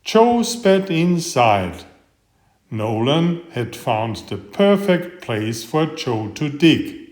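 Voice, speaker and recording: A man reading a children's bedtime story aloud with expressive, deliberate storytelling. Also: fairly high pitch, reverberant. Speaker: storybook reader.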